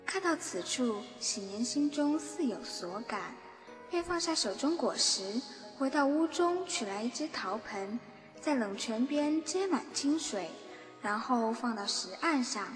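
High-voiced woman narrator with long reverb. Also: expressive, unhurried. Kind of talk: scripted narration of a fantasy radio drama. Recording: long reverb, music underneath. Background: background music.

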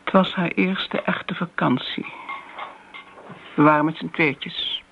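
An actress playing a disdainful older woman who reminisces briskly in a radio drama. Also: on the low side, fairly even intonation, creaky. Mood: defensive, puzzled. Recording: dull, noisy old radio play recording.

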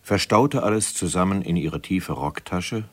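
A man narrating a 1970s radio play in a measured, literary storytelling manner. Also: deep voice, expressive.

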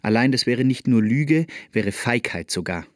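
A man delivering scripted, dramatic literary narration for a radio play with emphatic, deliberate phrasing. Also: mid-range voice, animated, dry recording, clean studio sound.

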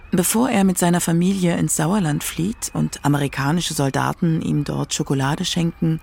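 A woman narrating a scripted literary radio feature with measured, dramatic emphasis. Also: on the low side, creaky, dark tone, breathy edge.

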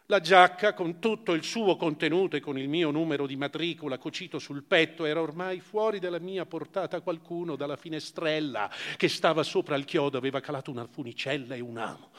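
A man giving a measured, expressive theatrical recitation of first-person literary prose, with deliberate pauses. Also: fairly high pitch, big-room sound. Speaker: actor reading literary prose. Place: theatre or hall, live performance.

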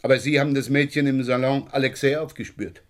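An actor playing a shrewd, sardonic police inspector in a German radio crime drama, questioning in a pointed, wry way. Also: male voice, medium pitch, quick pace, natural voice.

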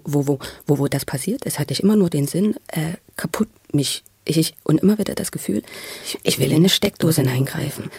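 A man with a husky, unclear voice tells a personal story out loud. He speaks intensely and spontaneously, stumbling and repeating words as he goes. The creaky edge shows in his phrases. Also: on the high side, no echo. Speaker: interviewee telling his life story.

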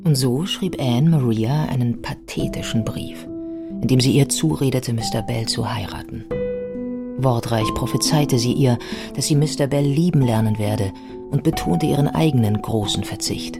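A literary woman biographer narrates a radio play. Her delivery is scripted and wry. Music runs clearly behind the voice. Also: very deep voice, normal speed.

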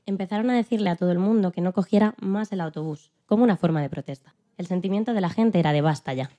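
A woman playing a dignified elderly female civil rights activist who recalls her struggle in a calm, earnest, plain-spoken way, as scripted interview storytelling. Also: on the low side, quick pace, dull audio, lightly acted. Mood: earnest recounting. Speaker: podcast guest in a dramatized interview. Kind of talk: scripted first-person storytelling in interview form.

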